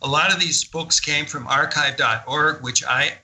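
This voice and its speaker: An older man speaks with a very bright, forceful edge and a slightly high pitch for a man. He is an enthusiastic interview guest who tells his stories conversationally and leans hard on his points. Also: fairly even intonation, normal speed. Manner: enthusiastic, emphatic. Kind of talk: animated conversational storytelling in a radio interview.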